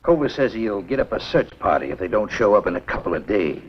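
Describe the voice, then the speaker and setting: An actor playing a worried older small-town doctor and father in a 1950s B-movie, with a husky voice. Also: lowish voice, big pitch swings, fast talker, slight background noise. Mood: grave, worried. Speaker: film actor. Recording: dull old 1950s film soundtrack.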